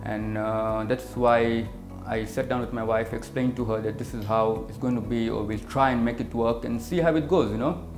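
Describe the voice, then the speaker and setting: A Kenyan man in his thirties, an optician and business owner, answering interview questions in a measured way, with many fillers. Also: medium pitch, steady tone. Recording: echoey room with music behind.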